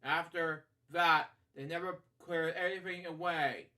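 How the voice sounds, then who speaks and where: A man hosting a bedtime story read-aloud, halting and rambling as he drifts into casual asides. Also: a little high, fairly even intonation, pushed and bright. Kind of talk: picture-book read-aloud with casual off-the-cuff commentary.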